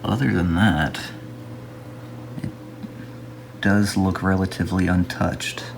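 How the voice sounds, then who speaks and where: A man narrating a casual hands-on restoration video as a hobbyist, musing aloud to himself in an unhurried way. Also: sits low, fairly even intonation, vocal fry, background noise.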